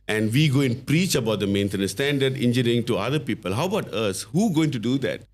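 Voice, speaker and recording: A middle-aged man from Malaysia with a Malaysian English accent, a podcast guest telling his story in a halting way, full of fillers and restarts. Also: mid-range voice, expressive. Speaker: guest, company founder.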